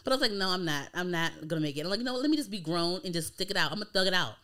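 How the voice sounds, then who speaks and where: A woman's voice: lowish voice, expressive, racing, bright, forceful tone, smooth. An American woman of thirty hosting a casual podcast, chatting informally with slang and free swearing. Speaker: podcast host.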